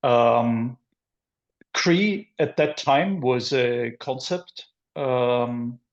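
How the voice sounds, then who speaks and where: A middle-aged man from Austria, a guest in a business interview, answering in a measured way with many pauses. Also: mid-range voice, highs cut off. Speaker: guest, business development director.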